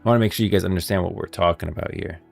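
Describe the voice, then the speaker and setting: A man's voice: deep voice, steady tone, racing, gravelly, faint music behind. A man streaming game lore commentary, moving between scripted narration and off-the-cuff explanation.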